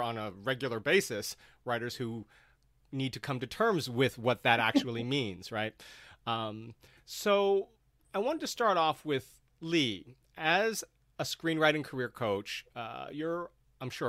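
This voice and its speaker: A man's voice: medium pitch, fairly bright tone, dry recording, clean studio sound. A man hosting a panel discussion and putting questions to his guests. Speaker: host.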